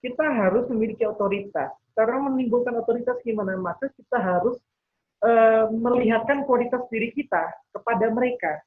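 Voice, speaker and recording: A man's voice: extremely high pitch, a little fast. A man teaching interactively as a trainer, explaining, checking that listeners follow and voicing imagined thoughts as examples. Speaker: NLP trainer leading a webinar. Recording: thin phone-like webinar audio.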